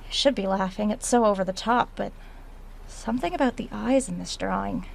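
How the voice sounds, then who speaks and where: Actress as uneasy woman diarist, faint creaky voice. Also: medium pitch, brisk, a little hiss or hum. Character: uneasy woman researcher recording an audio diary in a haunted house. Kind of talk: horror audio drama, diary-style narration and reading aloud.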